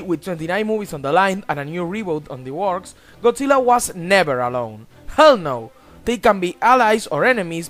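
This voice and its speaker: A young man narrates in a high, very animated voice. His delivery is emphatic and punchy, full of exclamations and crude asides. Faint music plays under his scripted voice-over. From Argentina. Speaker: countdown video host.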